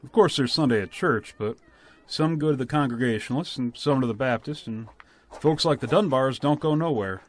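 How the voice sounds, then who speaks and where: An actor playing an affable, busy village storekeeper in a radio drama, folksy and neighborly. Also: male voice, mid-range voice, normal speed, natural voice.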